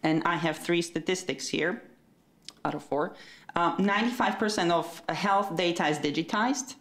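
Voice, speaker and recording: A woman from Slovakia speaking from the floor at a panel, direct and partly reading aloud. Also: lowish voice. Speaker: audience member asking a question. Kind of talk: question from the floor.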